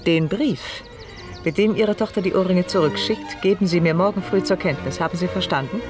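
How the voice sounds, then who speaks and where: A woman's voice: lowish voice, a little fast, over music, natural voice. A woman playing a cold, vengeful noblewoman in a radio play, with a curt, haughty, interrogating manner. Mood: cold, stern. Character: imperious vengeful French noblewoman, cold and commanding. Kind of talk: 1970s German radio play acting.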